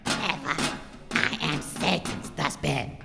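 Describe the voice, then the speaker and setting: A woman playing a menacing, defiant demon in a comic audio drama, with a gravelly, theatrical villain's delivery. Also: mid-range voice, slow, long reverb tail, loud music behind the voice. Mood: menacing defiance.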